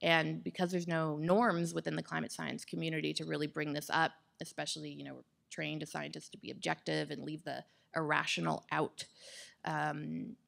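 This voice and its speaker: A woman in her thirties speaking in an articulate, measured way as an interview guest on stage. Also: low-pitched, level tone, vocal fry, a bit bright. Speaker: guest expert on climate psychology. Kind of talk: thoughtful interview answers on stage. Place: indoor stage with wood-slat backdrop. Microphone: microphone on boom stand.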